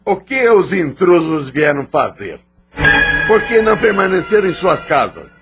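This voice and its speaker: Man acting a hostile, defiant spirit, higher-pitched. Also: very animated, measured pace, heavily acted. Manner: confrontational, commanding. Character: hostile male troubled spirit, defiant toward intruders. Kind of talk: audio drama acting. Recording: dull lo-fi audio. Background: background music.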